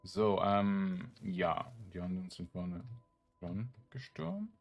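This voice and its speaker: A man with a very low, gravelly voice talks through a video game casually. He muses to himself in short, halting remarks, as a let's play host does. Soft music plays faintly behind him. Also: animated, highs cut off. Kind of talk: casual gameplay commentary.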